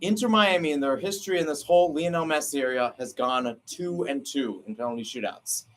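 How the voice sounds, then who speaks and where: A young man doing excited play-by-play commentary of a soccer penalty shootout, with his pitch and volume leaping at each kick. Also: brisk. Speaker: radio play-by-play announcer. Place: at home, not at the stadium.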